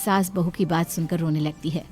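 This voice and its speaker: A woman's voice: low voice, fairly even intonation, a little fast, darkish tone, soft background music. A woman narrating a dramatic cartoon story in a storyteller's style.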